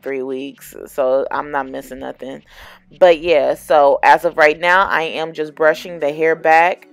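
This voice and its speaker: A woman's voice: low-pitched, vocal fry. A woman chatting casually to camera as a wig-making vlogger, explaining each step and often correcting herself. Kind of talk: casual how-to chat to camera.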